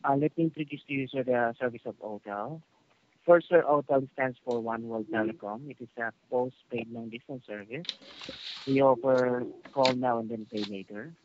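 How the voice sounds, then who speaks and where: A man's voice: mid-range voice, steady tone, normal speed. A man working as a telephone service agent, polite and formal, following a customer service script on a call. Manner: polite, formal, constantly says sir. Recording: muffled phone call with slight noise.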